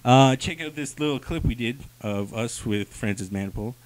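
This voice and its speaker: A man's voice: mid-range voice, normal speed, rough recording. A man co-hosting a review show in casual, joking banter. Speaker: co-host of a comic review show.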